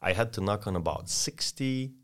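A man in his forties from Poland with a Polish accent, a sales consultant talking casually and acting out an eager, rushed door-to-door pitch. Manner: fast, eager salesman patter with frequent repeats. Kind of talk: casual interview, role-playing a sales pitch.

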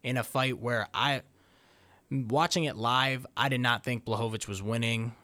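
A man's voice: medium pitch, steady tone, normal speed, bright, forceful tone, no room sound. A man co-hosting a sports podcast, thinking aloud through a fight breakdown in a hesitant, restarting way. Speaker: podcast co-host, fight analyst.

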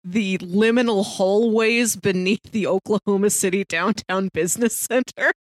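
A woman's voice: mid-range voice, moderate pace. A woman co-hosting a podcast in casual, conversational talk. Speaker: podcast co-host. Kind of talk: casual chat.

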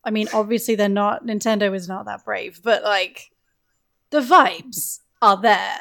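A woman chatting casually as a podcast guest, in an informal, playful, expressive way. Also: medium pitch, a little slow, vocal fry. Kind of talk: casual chat.

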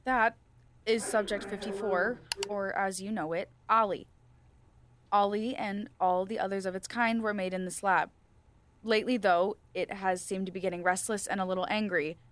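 A woman playing a firm but gentle research scientist in an audio drama, speaking in a measured, formal interviewer's manner. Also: medium pitch, steady tone, a little hiss or hum, natural voice. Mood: explanatory. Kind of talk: student audio drama acting.

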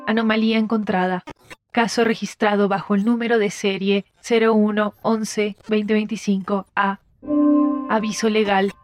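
A woman's voice: mid-range voice, flat delivery, normal speed, over loud music, lightly acted. A woman voicing an impersonal computer system that reads out records in a formal, robotic manner for a sci-fi audio drama. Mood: impersonal, official. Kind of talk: scripted announcement.

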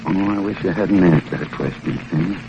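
An actor playing a shrewd, menacing gangster in a 1940s radio crime drama, terse, guarded and slangy. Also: male voice, sits low, normal speed, gravelly. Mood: pressing, uneasy. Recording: muffled, noisy vintage radio recording.

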